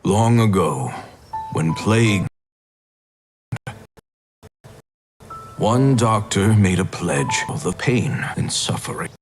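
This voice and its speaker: The grave, low storyteller of a fantasy game cutscene, a weary healer measuring out his legend over a clear musical score. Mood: solemn. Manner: slow, grave, measured storytelling with long pauses. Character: solemn, weary male doctor recounting a legendary healing contract.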